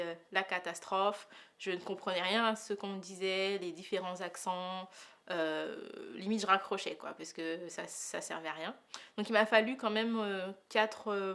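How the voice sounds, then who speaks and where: Hesitant young Frenchwoman, medium pitch. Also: fairly bright tone. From Paris, France. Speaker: testimonial interviewee. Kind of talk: spontaneous personal testimonial.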